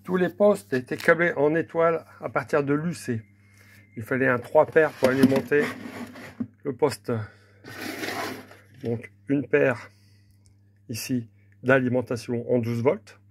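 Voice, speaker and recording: Gravelly-voiced man giving an informal demo. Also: medium pitch, fairly even intonation, unhurried, pauses often, background noise. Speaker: hobbyist presenter demonstrating old equipment. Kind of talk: informal unscripted technical demonstration.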